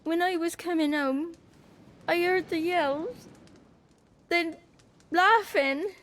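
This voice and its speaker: High-voiced, wary peasant child. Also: female voice, fairly bright tone, heavily acted. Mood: haunted, distressed. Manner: halting, plain rustic speech. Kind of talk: video game cutscene acting.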